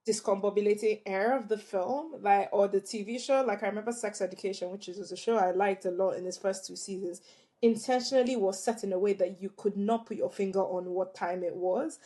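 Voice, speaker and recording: A young woman co-hosting a film podcast, speaking in a measured, thoughtful way. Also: mid-range voice, warm tone. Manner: measured, thoughtful, weighs her words. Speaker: podcast co-host, film reviewer. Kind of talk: casual review chat.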